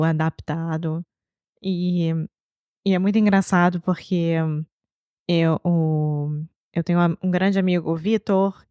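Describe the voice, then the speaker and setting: Carioca woman cohosting a podcast, low voice, fast lively chat, laughs a lot, dry close mic. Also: smooth, no room sound. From Brazil. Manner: lively, laughing, quick bursts of talk. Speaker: podcast co-host. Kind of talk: casual conversational chat.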